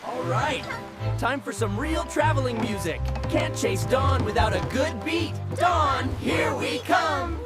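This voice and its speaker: Animated hero: woman playing young hopeful rooster, high, unhurried, earnest and dramatic. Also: over loud music. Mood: upbeat. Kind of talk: cinematic animated film dialogue.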